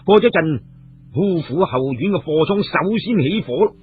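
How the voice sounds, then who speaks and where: A man's voice: a little high, expressive, unhurried, breathy edge. A man with a Hong Kong Cantonese accent narrating an audio novel as a dramatic storyteller. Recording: tinny radio-like sound with music behind. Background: music.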